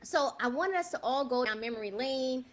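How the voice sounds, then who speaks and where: Chatty high-voiced woman in her fifties. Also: lively intonation, moderate pace. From South Bronx, New York. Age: 50s. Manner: chatty, keeps asking viewers "right?". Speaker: livestream host. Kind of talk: casual livestream talk to viewers.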